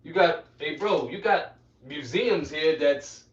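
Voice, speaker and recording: A man's voice: a little high, lively intonation, takes it slowly. A man teaching scripture interactively as a preacher, emphatic, repeating phrases and prompting those around him. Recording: dull, echoey, distant from the mic.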